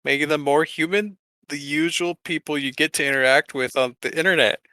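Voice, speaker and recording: Bright, clear-voiced man chatting casually. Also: fairly high pitch, fairly quick, no echo. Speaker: podcast co-host. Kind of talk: casual podcast chat about games.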